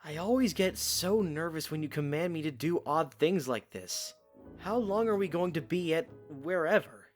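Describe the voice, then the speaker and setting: An actor playing a young knight, earnest and dutiful with a dry teasing streak, in an audio drama. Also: male voice, fairly high pitch, expressive, normal speed, faint music behind, close to an everyday voice. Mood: flustered.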